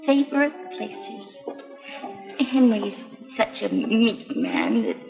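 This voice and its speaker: A woman's voice: mid-range voice, wildly swooping pitch, slow, gravelly, full character voice. An actress playing an anxious wife recounting her husband's troubles to a doctor, in a 1950s educational film. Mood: worried. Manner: anxious, chatty storytelling. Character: fretful middle-aged wife confiding in a doctor. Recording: dull reverberant old film soundtrack with music.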